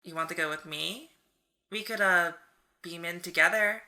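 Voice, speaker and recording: A woman's voice: fairly low pitch, normal speed, pushed and bright. A woman playing a frank, caring young superhero in an audio drama, talking conversationally. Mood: nervous, friendly.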